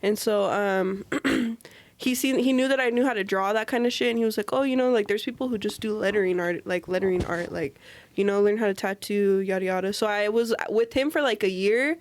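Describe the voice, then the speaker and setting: A young woman talking as a guest tattoo artist in a relaxed podcast chat. Her speech is loose and slangy, full of "like" and casual swearing, at an ordinary middle pitch for a woman. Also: fairly quick, dry recording. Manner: loose and slangy, heavy filler "like", swears freely.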